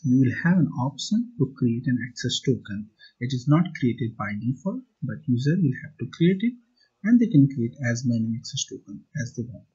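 A man walks through a tutorial step by step, posing questions and then answering them himself. His voice is husky and dark, and the recording sounds dull and muffled. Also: on the high side. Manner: plain step-by-step explaining, asks and answers own questions. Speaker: tutorial instructor. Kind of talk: explaining slides and screen demo. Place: voice-over.

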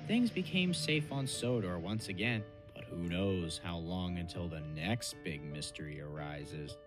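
Low-voiced, animated male storyteller. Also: unhurried, music in the background. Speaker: narrator. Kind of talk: scripted storybook narration.

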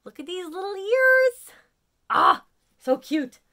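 A woman in her forties with an American accent, chatting casually and excitedly to camera in a room at home. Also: very high-pitched, takes it slowly. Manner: excitable, gushing. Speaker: vlogger showing a haul of collectibles. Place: small room at home, indoors.

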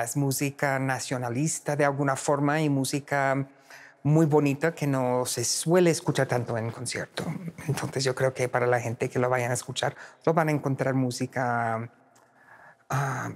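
A man's voice: mid-range voice, unhurried. Older American man speaking Spanish. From United States. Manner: relaxed, searching for words. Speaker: pianist. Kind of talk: interview. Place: seated in a concert hall. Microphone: lapel.

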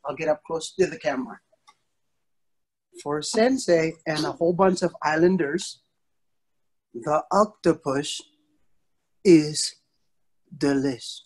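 A middle-aged man telling a playful story to children as their instructor, theatrical, with drawn-out emphasis and pauses. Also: on the high side, slightly rough. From an island country. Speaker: martial arts instructor telling stories to children. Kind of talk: playful interactive storytelling for kids. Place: indoor wood-panelled studio, on a video call.

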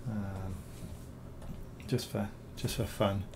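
A man, hoarse from recovering from illness, talking through a screen-recorded software tutorial, rambling with many ums and repeated words. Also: fairly low pitch, little pitch movement, takes it slowly, a little hiss or hum. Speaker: bas-relief sculptor reviewing software.

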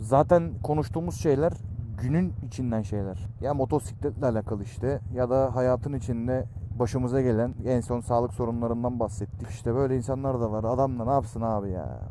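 A man's voice: mid-range voice, fairly quick, few pauses, warm tone. A middle-aged man talking casually while riding a motorcycle through city traffic, chatty and ranting at other drivers. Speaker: motovlogger. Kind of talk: casual ride commentary. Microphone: helmet or action camera mic. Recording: motorcycle ride with clear road noise. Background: traffic and wind noise.

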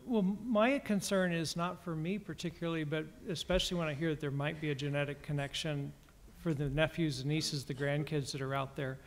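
Higher-voiced man in a reverberant hall. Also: brisk, hardly any pauses. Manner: relaxed, good-humored storytelling. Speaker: patient panelist. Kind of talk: conference panel discussion. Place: conference hall stage panel. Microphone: stand microphone. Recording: long reverb of a conference hall.

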